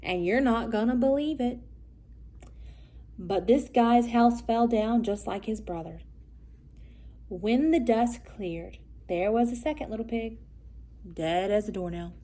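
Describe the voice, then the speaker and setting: A storybook wolf, read by a woman, pleads his case in a fairly high voice. He is folksy and aggrieved, telling the tale theatrically and excusing himself. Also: a little slow, pauses often, dark tone. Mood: matter-of-fact, self-excusing. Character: self-justifying male storybook wolf telling his side.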